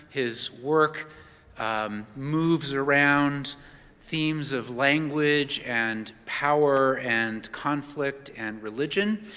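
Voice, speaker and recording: A man's voice: medium pitch, pushed and bright, long reverb tail. A man hosting a church class, giving a friendly, chatty introduction of a guest teacher. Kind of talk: informal introduction.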